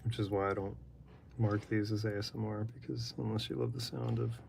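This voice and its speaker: A man chatting in a flat, monotone, rambling way while drawing live in his apartment. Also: fairly low pitch, a little fast, vocal fry, a little hiss or hum. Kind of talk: casual chat while drawing. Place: apartment room.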